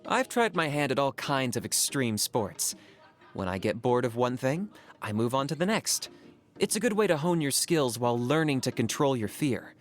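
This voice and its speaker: An actor playing a calm, pragmatic young hunter-for-hire in a video game, speaking in a matter-of-fact, deadpan way. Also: male voice, mid-range voice, moderate pace, faint music behind, lightly acted. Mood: calm resolve. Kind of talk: video game character voice lines.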